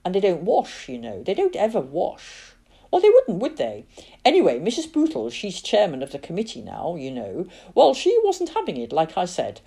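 A woman's voice: lowish voice, wildly swooping pitch, moderate pace, vocal fry, warm tone, husky, heavily acted. A woman voicing a gossipy, nagging middle-aged aunt who chatters on about hearsay and scolds a boy at the supper table.

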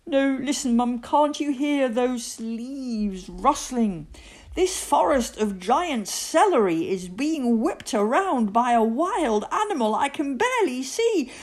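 A woman's voice: very high voice, little pitch movement, normal speed, hardly any pauses, a little mellow, pitched above the performer's own voice. A woman voicing an imaginative, easily frightened little boy playing knight in a storybook, with exclamatory, dramatic delivery. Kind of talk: storybook character voice.

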